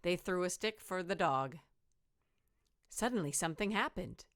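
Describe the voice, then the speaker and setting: Female teacher as story narrator: slightly low voice, deliberate rhythm, expressive read-aloud for children.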